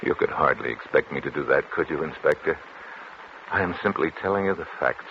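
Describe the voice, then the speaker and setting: An actor playing a controlling, calculating doctor in a formal, measured 1940s radio suspense style. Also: male voice, rumbling low. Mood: cold, measured. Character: controlling, coldly calculating male doctor narrating his crime. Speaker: radio actor, lead and narrator. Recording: muffled, noisy vintage 1940s radio recording.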